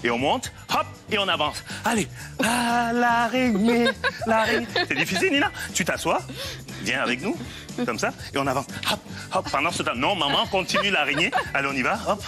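A man's voice: high-pitched, sing-song, moderate pace, pushed and bright. A man in his thirties coaching exercise in a playful, encouraging way while moving around. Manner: physically active while talking. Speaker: fitness coach on a TV parenting show. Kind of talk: demonstrating exercises to a mother and young child. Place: living-room style TV studio. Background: music.

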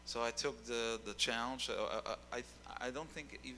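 A bright, clear male voice with a long hall reverb around it. He is a young singer telling his story on stage into a handheld mic. He talks loosely and repeats words as he finds them. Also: medium pitch, moderate pace, slight background noise. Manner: rambling, repeats words while searching. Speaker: guest singer. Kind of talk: casual interview on stage. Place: dark stage with keyboard.

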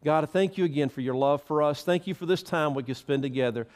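A man's voice: a little high, fast, darkish tone. A middle-aged man preaching from a church stage, fervent and emphatic, wearing a headset mic. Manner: fervent preaching with rising emphasis, stands and gestures. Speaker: pastor. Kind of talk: sermon and closing prayer.

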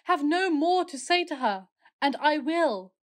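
Woman playing a high-pitched melodramatic Victorian schemer. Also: expressive, measured pace. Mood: imploring. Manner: theatrical, declamatory. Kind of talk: farcical period radio play acting.

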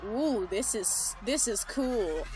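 Excitable alto woman over background music. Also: fairly high pitch, slow pace, poor audio. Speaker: commentator reacting to a fan animation. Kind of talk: spontaneous reaction commentary. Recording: music under the voice.

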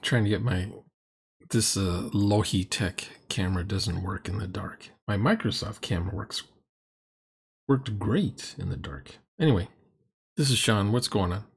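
A man's voice: on the low side, unhurried, creaky, pauses often, breathy edge. A middle-aged man giving casual, critical commentary on a game recording, exasperated, mocking and emphatic. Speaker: host reacting to a game recording. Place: dim room indoors.

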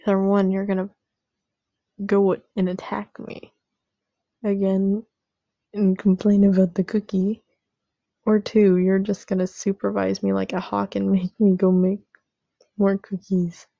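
A sheepish young boyfriend, acted by a woman in a roleplay audio drama. The voice is mellow and creaky, stammering through pleading apologies at an unhurried pace. The recording is a little dull and lo-fi. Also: medium pitch, monotone, dry recording. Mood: resigned, sheepish.

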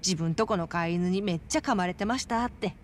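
A woman's voice: fairly low pitch, fast, a little hiss or hum, natural voice. Streetwise young game informant, female actor, Kansai accent, brash and teasing, mid-to-light casual delivery. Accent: Japanese Kansai.

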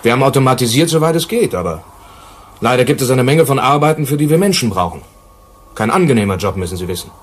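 Earnest, explaining colony manager. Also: male voice, mid-range voice, very animated, fast, breathy edge. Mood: troubled. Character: earnest male colony manager explaining grim working conditions. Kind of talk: German radio play acting.

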